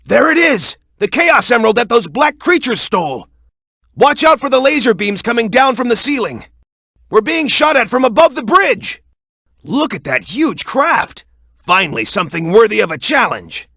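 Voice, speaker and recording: A man's voice: very high-pitched, big pitch swings, moderate pace, vocal fry, sharp tone, breathy edge, no echo, clean studio sound, heavily acted. A man playing a tough, hot-headed young hero in a video game, speaking in a brash, urgent, punchy way. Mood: urgent, alert. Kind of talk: video game character voice clips.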